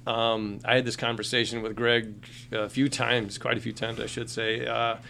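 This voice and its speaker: A man's voice: medium pitch, flat delivery, normal speed, bright, forceful tone. A man co-hosting a casual chat, explaining things in a conversational way. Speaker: podcast co-host. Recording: clear background noise with hum.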